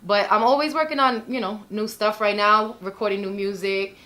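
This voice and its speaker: A woman's voice: medium pitch, fairly even intonation, normal speed, bright, forceful tone. A woman, chatting casually as a podcast guest, full of you-knows and likes. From New York, Spanish Harlem. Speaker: guest singer.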